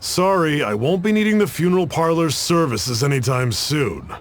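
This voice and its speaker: A man's voice: fairly high pitch, expressive, normal speed, a little hiss or hum, natural voice. An actor playing a reserved, dry-spoken young man who is wary of strangers, in a game cutscene. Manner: dry, guarded, thoughtful.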